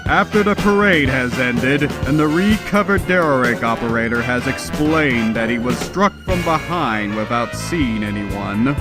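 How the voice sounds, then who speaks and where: A man gives a dramatic, scripted comic book narration. His delivery is theatrical and emphatic at an unhurried pace, with music clearly heard underneath. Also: medium pitch, animated. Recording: music behind the voice.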